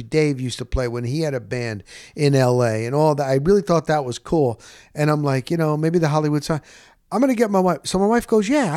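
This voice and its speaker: Middle-aged man, slightly high voice, dry close sound, casual storytelling, animated retelling of conversations. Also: dry recording. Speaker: broadcast host.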